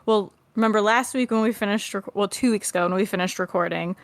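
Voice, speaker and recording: A woman's voice: medium pitch, quick pace, no room sound. A woman co-hosting a podcast, chatting casually and reading through case notes aloud. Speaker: podcast co-host.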